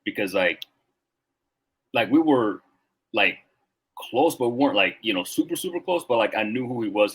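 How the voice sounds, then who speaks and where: Male guest in his thirties from Rwanda; deep, husky voice, easygoing and reflective. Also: fairly bright tone. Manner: reflective, loose, many filler words. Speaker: guest, Marine veteran. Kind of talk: casual podcast conversation.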